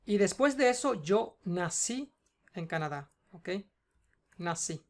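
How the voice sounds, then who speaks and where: A Spanish teacher with a Cuban accent, patiently going through a student's homework and checking in often. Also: female voice, lowish voice, lively intonation, slow pace. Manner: patient, explains step by step, checks in with "ok?".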